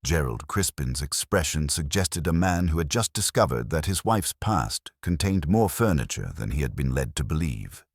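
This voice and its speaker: Male narrator, very low and slightly husky, measured mystery storytelling, close dry sound. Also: sing-song, dry recording. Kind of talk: scripted audiobook-style mystery narration.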